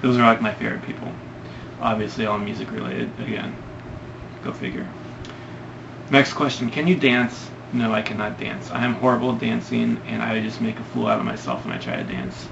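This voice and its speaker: Young guy from Ohio doing a casual Q&A, rambling with ums; gravelly voice on a muffled, hissy recording. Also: medium pitch, lively intonation, brisk. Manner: hesitant, rambling with many ums. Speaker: vlogger answering questions. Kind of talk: casual Q&A vlog. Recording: lo-fi, noisy home recording.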